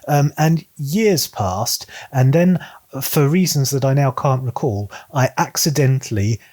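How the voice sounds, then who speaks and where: A man talking casually to viewers as a book vlogger, articulate and measured in his phrasing, with dry, self-deprecating humour. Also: medium pitch, darkish tone.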